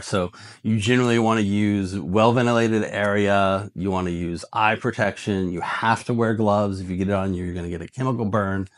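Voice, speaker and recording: Low-voiced man giving blunt casual advice. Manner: blunt, colloquial, often tags points with "right?". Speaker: video host and reviewer. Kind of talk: casual product advice to camera. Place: indoor workshop room with shelves of parts.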